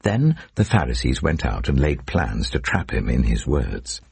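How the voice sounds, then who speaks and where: A man narrates scripture in an extremely low voice, full of rasp and captured very dry. He tells it as dramatic storytelling, leaning on key words with emphatic stresses. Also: sing-song, a little slow, darkish tone, no echo.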